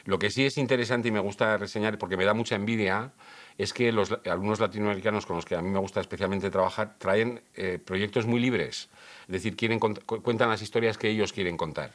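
A middle-aged screenwriting teacher speaking thoughtfully in an interview. His voice is fairly low. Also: fast. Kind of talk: interview answer.

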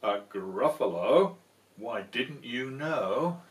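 A man's voice: mid-range voice, expressive, drawn-out words, distant mic. A man voicing a small, polite storybook mouse who boasts and teases with emphatic delivery. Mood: ominous boasting.

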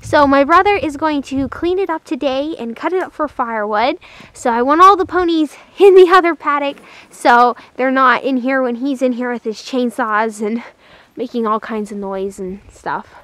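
Chatty woman doing a casual horse vlog, high voice, fond way of talking about animals, pretty dry sound. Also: normal speed, a bit bright, no room sound. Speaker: horse vlogger.

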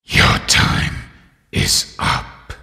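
A man voicing a menacing, sadistic undead animatronic rabbit killer in a video game, with a growling, gravelly voice and drawn-out delivery. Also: sharp tone, full character voice. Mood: cold menace. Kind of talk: video game villain voice lines. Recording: long cavernous reverb.

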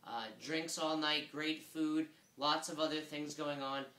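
Man, thirties, upbeat casual talk, bright tone, slightly high voice, roomy office sound. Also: level tone, measured pace. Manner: upbeat, gestures a lot with both hands. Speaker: gym owner giving weekly update. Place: small office room with desk and chairs. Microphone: fixed camera a few feet away. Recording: echoey small office.

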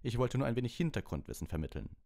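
A man voicing an excited, cheeky young student giving animated sports commentary in an audiobook. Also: medium pitch, racing, creaky, voice pushed up. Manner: animated, rattling play-by-play. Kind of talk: audiobook character reading.

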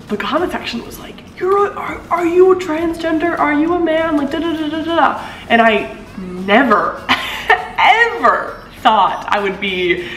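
A woman in her twenties chats casually to her camera, reading out comments and answering them. Her voice is deeper than most women's, and she laughs easily and swoops up in pitch. The sound is roomy, picked up a few feet away in an apartment living room. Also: a bit bright, a little hiss or hum. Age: 20s. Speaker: vlogger. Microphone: camera mic at a few feet. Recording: echoey room.

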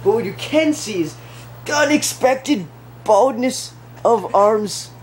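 A man's voice: sits high, animated, slow, echoey room, slight background noise. A teenage boy co-hosting a casual vlog at home, teasing and wisecracking. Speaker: vlog co-host. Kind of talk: casual chat.

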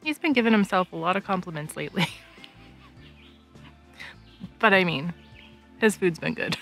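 Female travel vlogger, thirties, casual narration with soft music underneath. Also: lowish voice, lively intonation, moderate pace.

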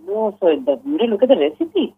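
A woman's voice: mid-range voice, very animated, fairly quick, breathy edge, no room sound. A woman, explaining casually in a matter-of-fact, instructive way on a phone call. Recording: tinny phone line.

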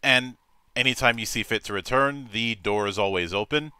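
Bright, crisp male show host. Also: mid-range voice, normal speed, no echo. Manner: theatrical, with rising emphasis on catchphrases. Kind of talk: casual show host sign-off.

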